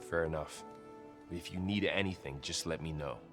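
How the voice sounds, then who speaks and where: An actor plays a young soldier and loyal friend in a video game. His voice is deep, with a gentle, thoughtful delivery. He comes across as kind and easygoing. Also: normal speed, soft background music, natural voice. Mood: caring, quiet. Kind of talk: video game cutscene acting.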